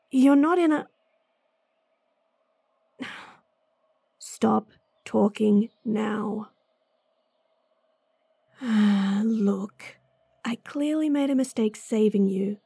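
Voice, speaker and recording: A gruff swordswoman bounty hunter with a gravelly, husky voice, as a woman acts her out. She talks slowly and bluntly in a dark, low-lit tone, sardonic and short on patience. Recorded close and dry for a fantasy audio story. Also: dry recording, exaggerated voice. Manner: blunt, sardonic, sighing often. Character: gruff, impatient female bounty hunter swordswoman, young adult. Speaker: voice actress. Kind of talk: fantasy roleplay audio drama.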